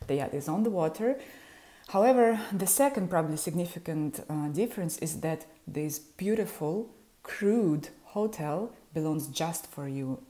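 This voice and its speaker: A woman's voice: lowish voice, mellow. A woman in her thirties from Ukraine with a Ukrainian accent, a yacht charter specialist speaking friendly and explanatory to camera in a small room at home through an earphone mic. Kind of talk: informative vlog talk to camera. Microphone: earphone mic, webcam framing.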